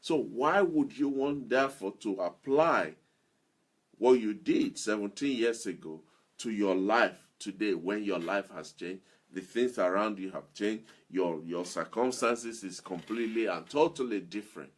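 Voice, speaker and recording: Male self-improvement speaker: slow, deliberate, a bit husky, earnest and repetitive. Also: on the low side. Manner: earnest, repeats key phrases for emphasis. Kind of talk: motivational talk to listeners.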